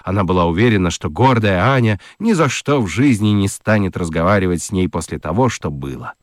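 A man narrating a children's radio play in a gentle, very expressive storytelling manner. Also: sits low, brisk, no echo, studio quality.

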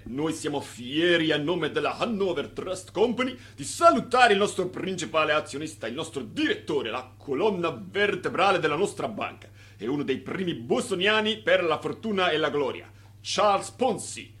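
An actor in a radio drama playing an effusive bank director, pompous and flattering in manner. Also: male voice, fairly high pitch, moderate pace. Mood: ceremonious. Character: effusive, pompous bank director toasting his star shareholder.

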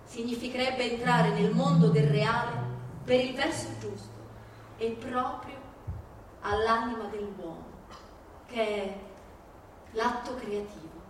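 A woman giving a dramatic stage reading of literary texts, with a measured, declamatory delivery and deliberate pauses. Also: on the high side, a little hiss or hum. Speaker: stage performer. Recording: very echoey hall from far off, music behind. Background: live music.

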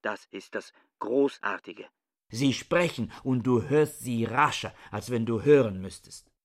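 A man's voice: medium pitch, measured pace, close to an everyday voice. An actor playing an embittered, reclusive male scholar in a radio play, insistent and pedantic in manner. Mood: fervent, bitter. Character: embittered, book-obsessed reclusive scholar defending his sanity. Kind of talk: German radio play acting.

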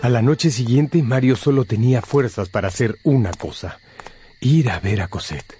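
A man's voice: mid-range voice, normal speed. A man narrating a radio drama in a scripted, dramatic storytelling style. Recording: prominent music under the voice.

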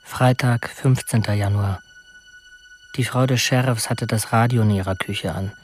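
A man's voice: lowish voice, fairly even intonation, unhurried, soft background music, natural voice. An actor playing a lonely, brooding young prisoner who reads his diary in clipped fragments for a radio drama. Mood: flat, subdued. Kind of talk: documentary radio drama monologue.

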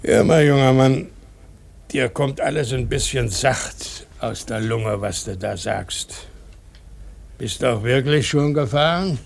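An actor playing a blustering old whaling captain in a German radio play, gruff, bullying and mocking. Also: male voice, mid-range voice, slow pace. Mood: challenging, mocking.

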